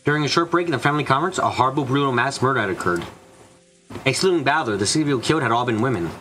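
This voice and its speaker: A man's voice: mid-range voice, expressive, rapid-fire, fairly bright tone, slight background noise. A man narrating a mystery visual novel from a script as a fan dubber.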